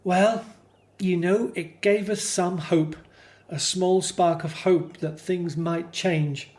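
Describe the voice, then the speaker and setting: A man's voice: high-pitched, fairly even intonation, takes it slowly, a little mellow. A man playing a hopeful Hebrew slave and family man in a scripted radio drama, telling things earnestly. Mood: hopeful, reassuring.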